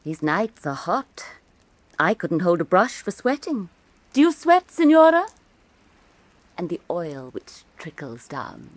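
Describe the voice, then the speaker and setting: A woman's voice: on the low side, very animated, slow, long pauses, no echo. An actress playing an elegant, insinuating woman art critic of Renaissance Venice, arch and mannered, in a theatrical radio drama. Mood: insinuating, sensual. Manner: arch, mannered, lingering, condescending.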